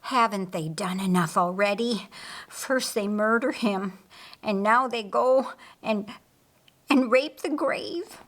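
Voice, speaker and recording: A woman voicing a grieving, angry woman's indignant inner thoughts in a dramatic audiobook. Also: medium pitch. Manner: indignant, halting, emphatic.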